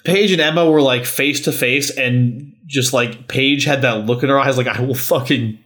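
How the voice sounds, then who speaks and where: A man's voice: mid-range voice, normal speed, fairly bright tone. A man co-hosting a podcast in casual chat, loose and conversational with lots of filler words. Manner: peppers talk with "like" and mild swearing. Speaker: podcast co-host.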